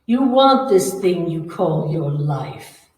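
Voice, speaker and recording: A woman performs a sinister female spirit in a radio horror drama, coaxing and threatening with theatrical menace. The delivery is slow and widely swooping, heard from a distance in an echoey room. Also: lowish voice, very animated, a little mellow. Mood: menacing. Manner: slow, commanding, taunting, theatrical. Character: menacing, coaxing female ghost hungry for blood and life. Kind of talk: old-time radio horror acting. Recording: echoey room, far from the mic.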